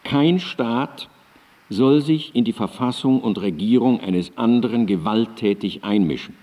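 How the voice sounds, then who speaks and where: An actor playing an elderly Enlightenment philosopher in a radio drama, declaiming his writings in a solemn, deliberate and emphatic manner. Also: medium pitch, mellow, natural voice. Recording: long hall-like reverb on cassette.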